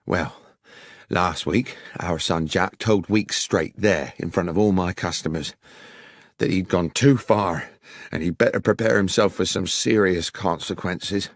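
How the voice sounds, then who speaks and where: A man's voice: low voice, unhurried, no room sound, clean studio sound. A man voicing an earnest, worried older village publican with a British accent, plain-spoken and insistent. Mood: distressed.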